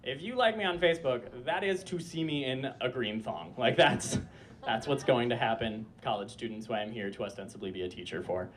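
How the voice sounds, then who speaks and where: A man's voice: mid-range voice, animated, a little fast. A young man telling a comic personal story on a club stage, chatty and full of filler words, with a voice he says sounds like a disappointed mom. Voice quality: voice like a disappointed mom, per a friend. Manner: chatty, lots of filler likes, frequent laughter. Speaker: storyteller performer. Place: small club stage before a live audience.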